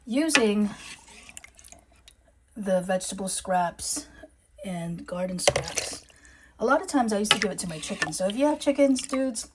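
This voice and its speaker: A woman's voice: medium pitch, heavy background noise. A woman with a Filipino accent, chatting casually to camera in her home kitchen, rambling a little and correcting herself with plenty of ums. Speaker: urban homesteading vlogger.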